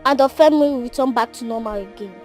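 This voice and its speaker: A woman's voice: on the high side, darkish tone, faint music behind, lightly acted. A woman acting a solemn, oracular prophetess of doom in a Nigerian film, with a Nigerian accent. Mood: solemn, foreboding. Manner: slow, oracular pronouncing. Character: solemn prophetess foretelling tears and blood.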